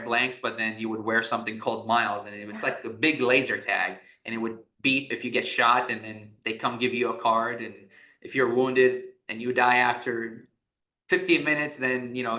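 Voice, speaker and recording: A man's voice: mid-range voice, moderate pace, sharp tone. A man from Iraq, a business founder, talking as a guest in a podcast interview, telling his story with many restarts and fillers. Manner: rambling, frequent restarts and fillers. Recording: echoey room.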